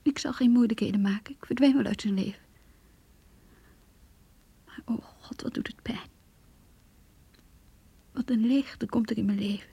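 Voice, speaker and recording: An actress playing a heartbroken, quietly bitter mistress in a radio drama monologue, very breathy, hushed and sorrowful. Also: female voice, fairly high pitch, normal speed, long pauses, dry recording. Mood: anguished. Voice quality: very breathy, often near whisper. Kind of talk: radio drama inner monologue, diary reading.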